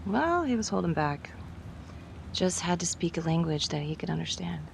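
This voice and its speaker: A hard-boiled woman detective in a crime game, blunt and short on patience. Her voice sits fairly low, with noisy ambience around it. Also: expressive, moderate pace, creaky. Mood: wry, matter-of-fact. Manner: blunt, profane, terse. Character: tough, hard-boiled female police detective, blunt and impatient. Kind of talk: dramatic video game acting.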